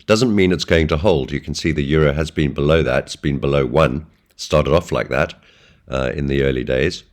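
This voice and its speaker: A man with a hoarse voice from a flu, giving a relaxed weekly market update over a chart, with many ums and uhs. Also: rumbling low, moderate pace. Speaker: forex trading analyst. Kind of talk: screen-share market update.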